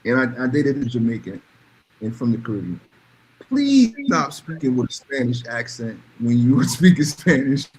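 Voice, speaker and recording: A man's voice: medium pitch, big pitch swings, normal speed, a little mellow, a little hiss or hum, poor audio. A man talking casually and bluntly, giving emphatic opinions and repeating phrases to drive points home. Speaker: podcast panelist.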